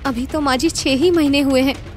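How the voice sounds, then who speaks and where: A woman as a gentle, high-voiced young wife. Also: fairly even intonation, normal speed, soft background music, close to an everyday voice. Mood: questioning. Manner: earnest, pleading. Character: gentle, pleading young daughter-in-law. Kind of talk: acted moral story.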